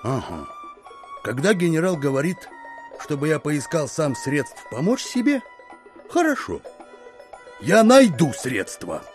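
A man's voice: a little high, wildly swooping pitch, a little slow, long pauses, faint music behind. A man playing a sardonic, disgruntled army captain with a stubborn streak in an audio drama. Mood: bitter, sardonic. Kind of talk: theatrical audiobook radio play.

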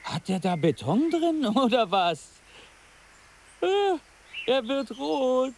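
An actor playing a suspicious, sneering schoolboy with a mocking, wary manner in a radio drama. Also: male voice, extremely high pitch, big pitch swings, slow, vocal fry, a little hiss or hum, full character voice.

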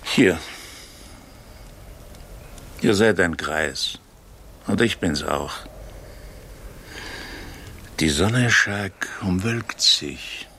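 An actor playing an aged, generous prince and field marshal in a radio drama, speaking in a stately, emphatic, rough-edged voice. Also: male voice, sits low, drawn-out words, long pauses, bright, forceful tone, a little hiss or hum, heavily acted. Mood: concerned, urging. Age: older. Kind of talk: verse radio drama acting.

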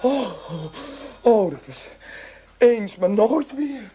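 Jittery, high-strung wife, stammering. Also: female voice, animated, slow, dull audio. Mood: shaken. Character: frightened, high-strung, bitter wife who drinks. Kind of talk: radio play acting. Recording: faintly noisy radio play recording.

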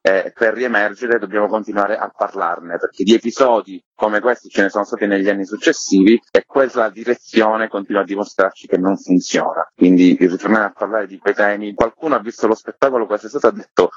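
A man's voice: lowish voice, steady tone, brisk, hardly any pauses, slightly rough, no room sound, muffled recording. A man speaking in an earnest, explanatory way, as a theatre maker being interviewed. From Sicily, Italy. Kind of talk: thoughtful interview talk.

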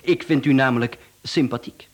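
Male actor as courteous hired killer, Flemish accent, smug, mockingly polite, unhurried and self-assured, radio play. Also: medium pitch, close to an everyday voice. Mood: condescending, affable. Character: smug, courteous, chatty male professional hitman. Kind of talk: radio drama acting.

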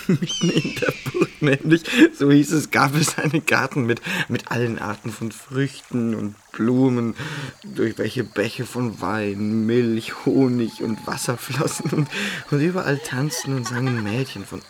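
A man's voice: medium pitch, a little slow, a little hiss or hum, lightly acted. An actor playing an intoxicated singer in a radio play, stammering and repeating words as he confides giddily. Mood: dreamy, rapt. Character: young intoxicated singer, giggly, confiding, enraptured storyteller. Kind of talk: German radio play acting.

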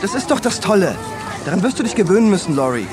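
Cocky conformist schoolboy, animated delivery, radio play with busy crowd behind. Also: male voice, high voice, fast, background noise. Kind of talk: German radio drama acting.

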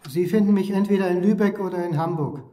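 An actor playing a guarded, weary middle-aged academic in a naturalistic radio play, terse and understated, with a husky voice. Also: male voice, high-pitched, quick pace, a little hiss or hum, natural voice. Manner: terse, flat denials, understated. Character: weary, guarded history lecturer under suspicion.

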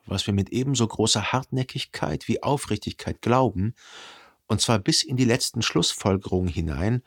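A man playing a reflective son who narrates his memories in a radio drama, with a husky voice and measured, contemplative delivery. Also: lowish voice. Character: reflective literary narrator, a grown son remembering.

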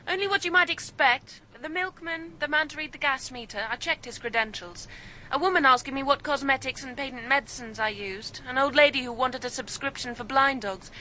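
A woman's voice: high voice, brisk, pushed and bright, slight background noise, low-quality recording. An actress playing a plucky, teasing young woman in a radio drama, breezy and unfazed. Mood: breezy, curious.

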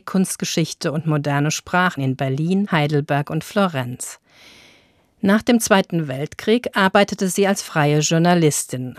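A woman's voice: on the low side, no room sound, clean studio sound. A woman reading a scripted introduction as a radio presenter.

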